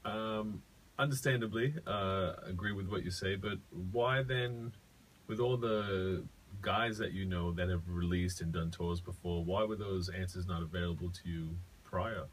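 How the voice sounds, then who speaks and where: A man's voice: deep voice, unhurried, poor audio. A man co-hosting casual radio chat, informal and probing, dropping hip hop slang. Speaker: radio co-host. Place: radio studio. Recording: noticeable background noise.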